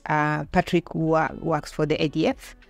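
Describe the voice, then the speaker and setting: A woman's voice: low voice, flat delivery, a little slow, creaky, dry recording. Middle aged kenyan lady telling her story on a podcast, dry sound, faint music under. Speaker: podcast guest. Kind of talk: conversational interview, telling own life story.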